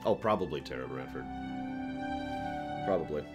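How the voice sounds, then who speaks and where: A man's voice: rumbling low, lively intonation, normal speed, music in the background. A man hosting a casual livestream about games, rambling and thinking aloud as he talks to his chat. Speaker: livestream host answering viewer questions.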